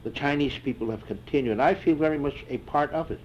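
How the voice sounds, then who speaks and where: An older man in an oral history interview, answering reflectively and haltingly with many fillers and restarts. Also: a little high, darkish tone. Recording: dull lo-fi tape with faint hiss.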